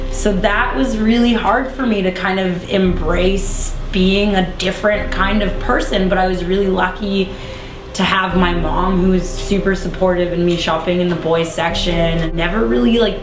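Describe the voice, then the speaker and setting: A young woman in her early twenties speaks sincerely and thoughtfully, her sentences running on smoothly. Her voice sits at a middle pitch, heard in an echoey room with music playing underneath. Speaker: interviewee. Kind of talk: casual personal testimony.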